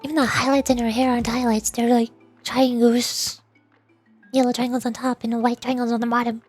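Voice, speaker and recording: High-voiced chatty woman streamer over music. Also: flat delivery, a little fast, darkish tone. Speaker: VTuber game streamer. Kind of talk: livestream voice acting of game dialogue with casual commentary. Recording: music in the background. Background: game music.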